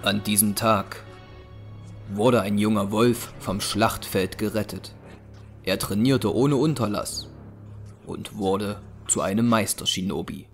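A man's voice: fairly low pitch, takes it slowly. A man narrating an audio drama in a scripted, dramatic storytelling style. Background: music.

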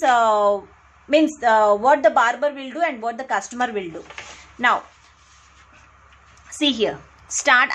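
A woman with an Indian English accent giving a whiteboard lecture, repeating phrases and pausing on fillers as she draws. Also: high voice, a bit bright. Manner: many fillers and restarts, repeats phrases to stress points. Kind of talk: whiteboard lecture, explaining while drawing. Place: indoors at a whiteboard. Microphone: close mic, speaker off camera, only hands seen writing.

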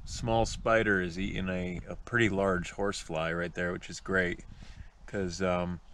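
A man in his thirties casually narrating a how-to at a wooded campsite, chatty and relaxed, talking to viewers as guys. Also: sits low, a little slow, slight background noise. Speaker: fishing and camping vlogger. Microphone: wearable action camera.

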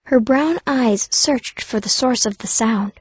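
A woman's voice: fairly high pitch, measured pace, husky, no room sound. A woman narrating a fantasy audiobook in the first person, as a young girl mage telling her story.

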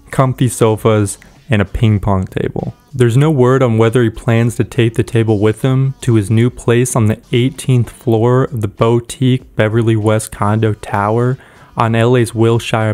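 A man with a smooth, resonant voice reads a polished script at an even, measured pace. His pitch sits in the ordinary middle range for a man. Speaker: narrator. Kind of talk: scripted narration.

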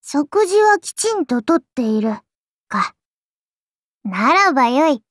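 A woman's voice: high voice, sing-song, slow, no echo, studio quality, exaggerated voice. A woman playing a childlike, playful serpent-god oni in an anime game, speaking in a haughty, archaic lordly manner with indulgent teasing. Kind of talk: anime game character voice lines.

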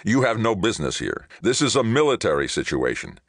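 A man's voice: very deep voice, lively intonation, normal speed, vocal fry, no room sound, clean studio sound, heavily acted. Man putting on a snappish, hostile official. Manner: snapping, snarling, curt. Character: snappish, hostile male government official in command. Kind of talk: audiobook character dialogue.

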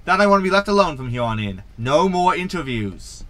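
A man's voice: fairly high pitch, big pitch swings, a little fast, fairly bright tone, no echo. A man playing a smug, mischievous hologram in a sci-fi comedy audio drama, glib and mocking. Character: smug, cheeky male hologram, mischievous con artist. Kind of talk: science fiction sitcom audio drama.

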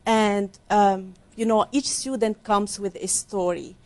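A middle-aged woman from Lebanon, explaining her work as a career counselor in a soft, earnest way during a studio interview on a lapel mic. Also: medium pitch, steady tone, slow. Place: small TV studio set, seated at a desk.

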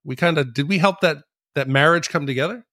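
A man's voice: on the high side, fairly quick, pushed and bright, no echo, clean studio sound. A man hosting a podcast, giving his opinions in casual, conversational talk. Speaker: podcast host. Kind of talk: casual podcast review discussion.

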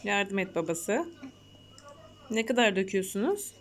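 A woman's voice: medium pitch, sing-song, a little slow. A woman at home, chatting and asking curious questions from the side while her family works. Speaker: mother filming and commenting. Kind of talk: casual home video chat. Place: home, indoors.

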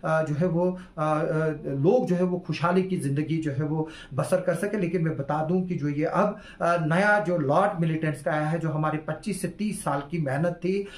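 A man's voice: on the high side, moderate pace, hardly any pauses. Hesitant man in an echoey room. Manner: hesitant, heavy fillers, earnest. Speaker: news commentator. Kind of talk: solo news commentary to camera. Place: small indoor room with curtain backdrop.

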